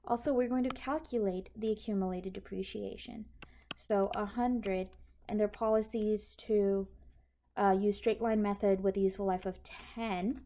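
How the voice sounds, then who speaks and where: A woman's voice: medium pitch, fairly even intonation, normal speed, mellow. A woman instructor explaining accounting in an informal, step-by-step tutorial style. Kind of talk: explanatory tutorial over handwritten notes. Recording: clearly noisy recording with clicks.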